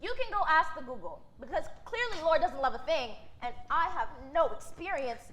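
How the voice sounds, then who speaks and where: A woman's voice: high-pitched, very animated, normal speed, a bit bright, a little hiss or hum. A woman playing a sassy, skeptical, feisty young woman in a staged play reading. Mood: exasperated teasing.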